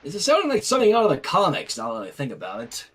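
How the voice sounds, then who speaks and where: Fan fiction reader: male, very expressive swooping pitch, slightly raspy, casual between passages. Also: racing. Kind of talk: animated story reading with casual asides.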